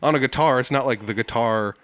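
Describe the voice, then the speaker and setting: A man's voice: medium pitch, a little fast, fairly bright tone, dry recording. A man hosting a podcast, chatting in an easygoing, self-deprecating way. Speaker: podcast host. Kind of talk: casual chat.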